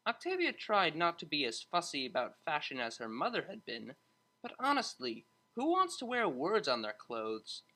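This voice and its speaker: Audiobook narrator for fiction: bright tone, animated intonation. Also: medium pitch.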